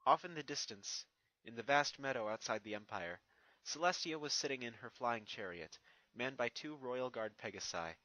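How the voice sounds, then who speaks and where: Bright, dry-miked man reading fan fiction. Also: medium pitch, expressive, normal speed, dry recording, low-quality recording. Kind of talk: casual introduction and narrated story reading.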